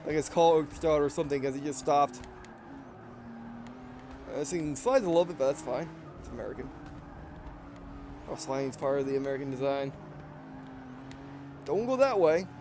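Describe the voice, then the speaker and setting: Man, Southern-Russian accent, slightly high voice, rambling gameplay commentary, car engine noise behind. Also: normal speed, long pauses. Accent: mixed Southern and Russian. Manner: rambling, self-correcting, excitable reactions. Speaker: let's play gamer.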